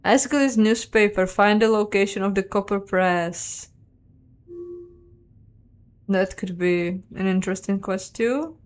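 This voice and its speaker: A woman's voice: mid-range voice, unhurried, long pauses. A woman giving casual playthrough commentary on a game, musing aloud and questioning herself. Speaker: game streamer and narrator.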